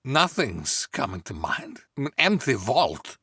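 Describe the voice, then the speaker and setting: A man voicing an awkward, nervous, eccentric oddball in a comic table read, with halting delivery and odd stresses. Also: mid-range voice, very animated, bright, forceful tone, husky, no echo, studio quality, heavily acted. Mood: oddly deadpan.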